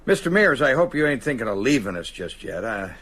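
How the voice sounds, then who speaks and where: Husky, folksy small-town American constable. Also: male voice, mid-range voice, very animated, brisk, a bit bright. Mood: wary, suspicious. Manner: hesitant, folksy, colloquial. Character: folksy, wary small-town constable, male, drawling and probing. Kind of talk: radio drama acting.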